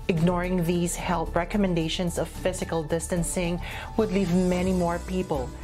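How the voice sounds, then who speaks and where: A woman news anchor reading and interviewing in a formal broadcast style. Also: low-pitched.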